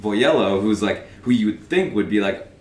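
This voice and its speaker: A young man co-hosting a podcast in casual chat, animated and laughing often as he retells scenes. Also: lowish voice, normal speed. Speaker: podcast co-host. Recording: echoey room with faint ambience.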